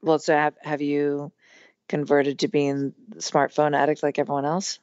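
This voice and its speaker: A woman in her thirties with a hoarse, strained voice, chatting casually as a podcast guest with lots of fillers. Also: low voice, level tone, normal speed, a little mellow, no room sound, muffled recording. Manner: chatty, rambling with many fillers. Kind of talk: casual conversation.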